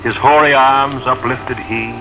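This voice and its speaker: A man reading in a measured, soothing way, like a dreamy late-night radio announcer. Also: medium pitch, steady tone, creaky. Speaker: radio announcer and poetry reader. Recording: dull vintage radio sound, music behind.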